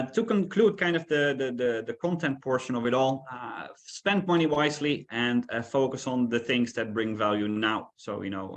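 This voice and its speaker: A man presenting a business webinar as the subject matter expert, explaining in a relaxed way with fillers. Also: mid-range voice.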